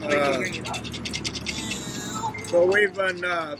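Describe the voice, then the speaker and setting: Slow, high-voiced man speaking outdoors. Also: music in the background. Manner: slow, halting, many fillers. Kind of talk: informal speech at a gathering.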